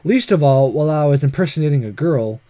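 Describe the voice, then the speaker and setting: A man voicing an earnest, nervous, lovestruck male high school student in a visual novel, speaking hesitantly. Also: lively intonation, fast, warm tone, no room sound, close to an everyday voice. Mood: earnest, pondering. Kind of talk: reading visual novel dialogue aloud.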